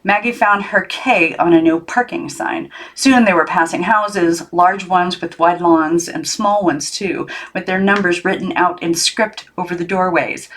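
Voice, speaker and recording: A woman reading a children's novel aloud as an audiobook narrator, in an expressive storybook style. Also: lowish voice, a little slow, few pauses, poor audio. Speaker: audiobook reader.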